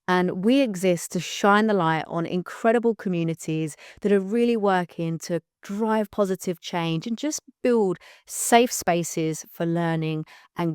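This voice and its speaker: Upbeat woman host, lowish smooth voice. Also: dry recording, clean studio sound. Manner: upbeat, effusive. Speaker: podcast host. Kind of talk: hosted interview.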